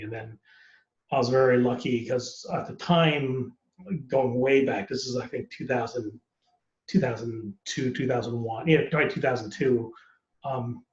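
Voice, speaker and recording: Male actor guest, 40s, loose rambly talker with constant fillers; roomy, muffled sound. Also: mid-range voice. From United States. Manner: relaxed, rambling, many "you know" and "um" fillers. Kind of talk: casual interview chat.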